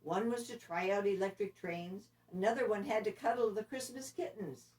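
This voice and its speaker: A woman narrating a children's story expressively, with deliberate, dramatic phrasing. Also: medium pitch, a little mellow. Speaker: storybook reader. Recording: distant microphone.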